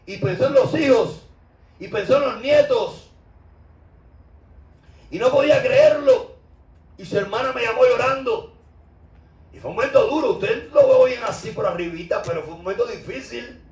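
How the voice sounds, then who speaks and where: Cuban male preacher, high voice, fervent and conversational, roomy far-off recording. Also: big pitch swings, moderate pace, pauses often, darkish tone. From Cuba. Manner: impassioned, with loose conversational asides. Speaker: spiritual teacher. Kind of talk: informal sermon. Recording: roomy echo, distant mic.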